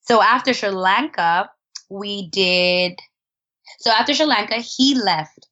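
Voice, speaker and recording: A woman's voice: medium pitch, slow pace, fairly bright tone. Chatty woman guest, dull lo-fi sound. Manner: chatty, laughs often, many fillers and restarts. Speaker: interview guest, traveler. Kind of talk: casual conversational storytelling.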